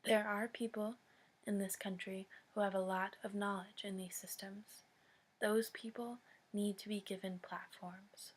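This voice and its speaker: American woman doing a serious public address, talks real slow, mid pitch, lots of long pauses, very earnest. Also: flat delivery, husky. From United States. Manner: solemn, deliberate, with long pauses between short phrases.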